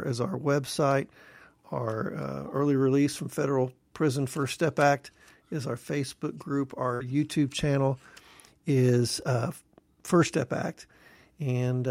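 A man's voice: medium pitch, vocal fry, no echo. A man co-hosting a podcast, talking in a casual, folksy way and addressing listeners as folks. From Texas. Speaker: podcast co-host.

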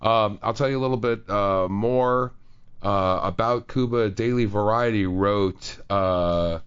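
Deep-voiced radio host reading quotes. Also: male voice, measured pace, clear, resonant tone, no room sound, highs cut off. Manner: reads quotes aloud at length, many fillers. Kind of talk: casual radio chat. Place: radio studio.

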